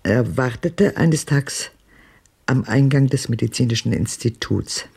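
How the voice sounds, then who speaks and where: Haunted old woman in a radio play, raspy and deep, commanding, halting delivery. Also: darkish tone, heavily acted. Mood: grave, haunted. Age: older. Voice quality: husky, deep. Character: haunted, imperious old woman recounting her grim past. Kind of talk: German radio drama, confessional recollection.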